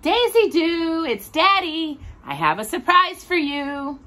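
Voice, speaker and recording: A woman's voice: extremely high pitch, big pitch swings, takes it slowly, sharp tone, slight background noise, pitched above the performer's own voice, full character voice. A woman voicing a loving, playful father speaking to his little daughter in a children's storybook. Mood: cheerful surprise. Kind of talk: children's picture book read aloud.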